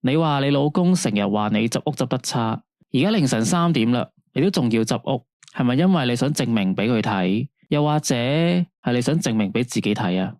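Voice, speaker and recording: A man's voice: mid-range voice, animated, a little fast, darkish tone, no room sound, clean studio sound, close to an everyday voice. A man with a Hong Kong Cantonese accent playing a late-night radio host who talks a caller through her troubles in a patient, soothing way. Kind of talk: radio drama acting.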